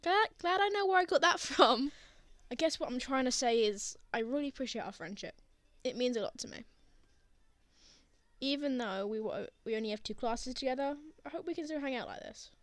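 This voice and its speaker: A woman's voice: fairly high pitch, dry recording, lightly acted. A young woman playing a teasing, bashful but tender college student in an intimate roleplay audio drama. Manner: playful teasing with bashful hesitations.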